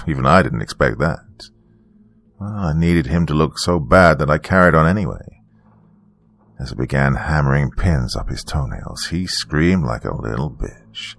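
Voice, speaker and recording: A man voicing a charming but menacing serial killer in a thriller story, deep-voiced, smooth, taunting and boastful. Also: fairly bright tone, heavily acted.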